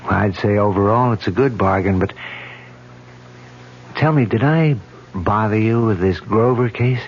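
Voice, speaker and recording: An actor playing a young police detective gently coaxing his wife, in a 1970s radio drama on a dull vintage recording with faint hum. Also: lowish voice, a little slow, natural voice. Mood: tender. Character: devoted young husband, a dogged police detective.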